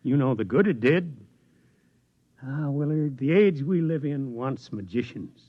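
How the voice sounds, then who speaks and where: Old tired scientist in a 50s radio play, reflective, swingy pitch, muffled dry recording. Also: male voice, lively intonation, dry recording. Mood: weary, philosophical. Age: older. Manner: reflective, measured speechmaking. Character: weary, disillusioned elderly male scientist. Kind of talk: 1950s radio drama acting. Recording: dull vintage radio recording.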